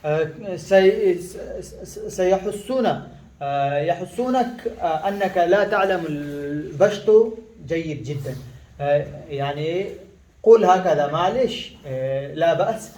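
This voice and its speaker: High-voiced man teaching in an echoey room. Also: expressive, darkish tone. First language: Pashto. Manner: halting, with many fillers and restarts, repeating words slowly. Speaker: language teacher. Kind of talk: casual spoken lesson.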